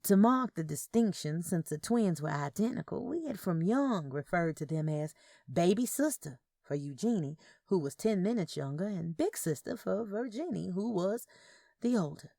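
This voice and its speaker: Casting: female storyteller for audio fiction, very animated pitch, creaky edge. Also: normal speed, dry recording, clean studio sound. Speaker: audiobook narrator. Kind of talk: scripted narration of Southern Gothic fiction.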